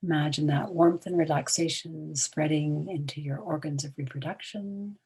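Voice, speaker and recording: A woman leading a guided relaxation in a soothing, hushed manner, leaving long pauses between short phrases, with a breathy tone that trails toward a whisper. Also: low-pitched, fairly even intonation. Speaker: meditation guide.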